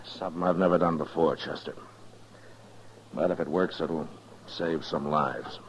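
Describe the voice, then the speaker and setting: Deep-voiced stern western marshal. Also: male voice, fairly even intonation. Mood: grave, resolved. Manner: terse, commanding, deliberate. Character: stern, steely frontier lawman, a US marshal. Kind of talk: 1950s radio western acting. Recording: dull, noisy 1950s radio recording.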